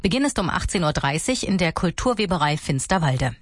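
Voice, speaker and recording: Female reporter, slightly low pitch, scripted delivery, dry close mic. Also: very animated, a little fast, no echo. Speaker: news reporter.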